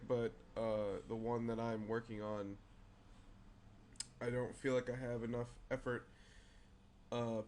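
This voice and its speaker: A man with a raspy voice who is clearly under the weather hosts a casual card game show. He walks through a deck at a middling pitch, hesitating often with fillers and restarts. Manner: halting, many fillers and restarts. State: unwell, raspy voice. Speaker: host.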